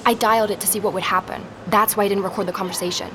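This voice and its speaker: Actress as young woman sleuth, naturalistic audio drama, halting delivery, earnest, rattled, noisy urban background. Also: mid-range voice, steady tone, lightly acted. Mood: defensive. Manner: hesitant, halting, with repeated words. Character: earnest young woman investigator recording her search, rattled and puzzled. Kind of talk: naturalistic audio drama, recorded diary and phone calls. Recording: street and vehicle noise behind.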